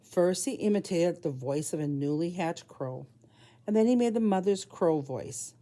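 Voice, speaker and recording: A woman preschool teacher reading aloud at storytime for young children, speaking simply and deliberately in short phrases. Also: mid-range voice, dark tone.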